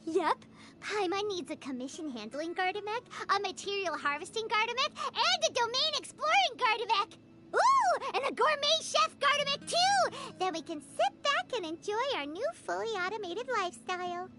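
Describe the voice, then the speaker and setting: An extremely high, squeaky little fairy companion from a video game. The childlike voice swings up and down with excitable, greedy eagerness. Loud background noise runs under the recording. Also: female voice, moderate pace. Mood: eager, scheming. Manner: exclamatory, speaks of self in third person. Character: tiny, childlike, excitable, money-loving floating fairy companion.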